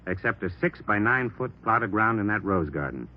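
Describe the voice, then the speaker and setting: On a dull old radio recording, a shrewd American police captain lays out his orders. The voice is fairly low, brisk and authoritative, with the decisive air of a boss in a crime serial. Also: animated. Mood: resolute. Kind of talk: 1950s radio drama acting.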